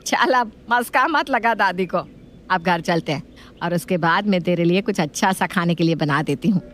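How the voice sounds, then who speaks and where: A woman playing a doting, gullible grandmother with a homely, indulgent manner in an animated family story. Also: lowish voice, normal speed, a little hiss or hum, lightly acted. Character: kindly, gullible, doting grandmother and mother-in-law.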